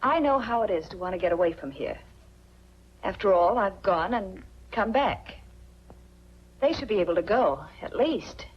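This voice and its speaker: An actress playing a gentle, guarded young widow with a husky voice and an American accent, in 1940s film style. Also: female voice, mid-range voice, lively intonation, moderate pace. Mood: pleading. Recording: dull 1940s film soundtrack.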